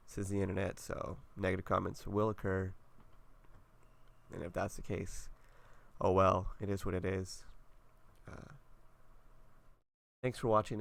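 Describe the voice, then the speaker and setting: Young man, stuffy nasal voice, gravelly low tone, laid-back rambling commentary. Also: fairly bright tone. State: congested, nasal. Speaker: gaming video creator. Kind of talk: casual walkthrough commentary.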